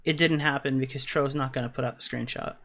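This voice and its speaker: A man in a casual recorded call speaks quickly at a middle pitch, with a creaky edge. His phrasing is loose and slangy, with swearing mixed in. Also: fairly even intonation, fairly bright tone. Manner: hedging slangy talk, swears freely. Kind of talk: casual, incredulous questioning. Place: online voice call.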